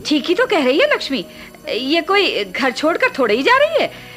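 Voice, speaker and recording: An assured, high-voiced matriarch. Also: female voice, wildly swooping pitch, moderate pace, a bit bright, heavily acted. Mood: brisk, chiding. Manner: assured, instructing. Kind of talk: Hindi radio play acting. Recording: old radio play recording, faint music.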